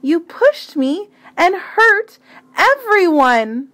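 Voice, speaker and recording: A woman's voice: very high voice, very animated, drawn-out words, fairly bright tone, no room sound, pitched above the performer's own voice, heavily acted. A woman voicing an angry young boy in a storybook, scolding a playmate with emphatic indignation. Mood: indignant.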